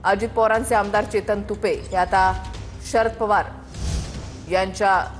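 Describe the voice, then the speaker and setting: A woman's voice: medium pitch, steady tone, unhurried, soft background music. A woman anchoring a breaking news bulletin, repeating and expanding on the headline.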